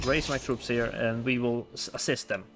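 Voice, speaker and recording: Bright-voiced chatty male streamer. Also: medium pitch, moderate pace, creaky, faint music behind. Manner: chatty, rambling, laughs often, restarts words. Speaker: livestreamer. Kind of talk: casual live game commentary.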